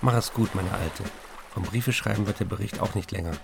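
A man's voice: lowish voice, brisk, vocal fry, background noise. An actor playing a self-assured, upbeat German writer reading his letters home in a radio play, in a confiding way. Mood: fond, teasing.

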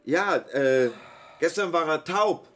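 A man's voice: fairly high pitch, lively intonation, fairly bright tone. An actor playing a shy, awkward male hospital patient in a radio play, speaking hesitantly and nerdily. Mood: awkward, friendly. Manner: hesitant, halting, nerdy when explaining. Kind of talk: naturalistic radio play dialogue and first-person narration.

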